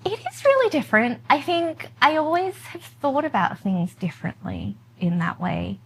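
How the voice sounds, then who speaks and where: A woman's voice: high voice, very animated, moderate pace, vocal fry, noisy background. Upbeat woman. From born in China, raised in Australia. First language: Mandarin. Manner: upbeat, laughs often, dreamy and enthusiastic. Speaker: podcast guest, content creator. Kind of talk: casual interview conversation.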